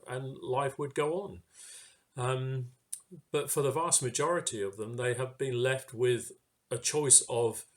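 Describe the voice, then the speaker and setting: A man giving an informal sermon reflection in a hesitant, halting way, pausing mid-phrase. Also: medium pitch. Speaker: church speaker giving a reflection.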